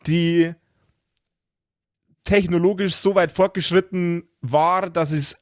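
A man chatting casually as a podcast game master, halting and often restarting words as he searches for phrasing. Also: sits high, fairly even intonation. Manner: halting, searching for words, repeats word starts. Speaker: game master of an actual-play role-playing podcast.

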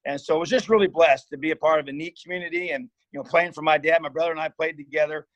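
A man's voice: a little high, fairly even intonation, quick pace, no room sound, highs cut off. A man in his fifties from Indiana, a football coach telling his life story in a casual interview, rambling and earnest with many restarts. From Indiana, USA. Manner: rambling, earnest, frequent "you know" and restarts. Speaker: head football coach, guest.

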